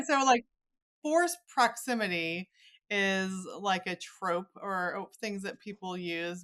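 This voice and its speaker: A woman's voice: mid-range voice, fairly bright tone. A woman hosting a podcast, chatting with a guest in an enthusiastic, gushing way. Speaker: podcast host interviewing an author. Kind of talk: chatty interview.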